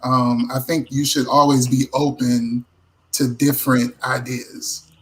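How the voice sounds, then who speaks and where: A man giving advice as a guest in a casual chat, in a measured, plain-spoken way. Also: mid-range voice, level tone, fairly bright tone, poor audio. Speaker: songwriter and producer, panel guest.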